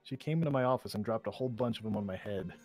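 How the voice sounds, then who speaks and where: Fast-talking man in casual chat. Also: fairly low pitch, darkish tone, soft background music. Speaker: player on a tabletop game stream. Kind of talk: casual anecdote in group chat.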